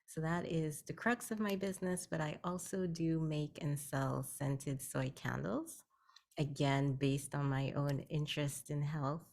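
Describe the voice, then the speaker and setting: A woman's voice: low voice, fairly even intonation, a little slow, a little mellow. A woman from Guyana, a health educator, explaining her business in a plain-spoken way as a guest on a video call. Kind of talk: virtual networking meeting talk.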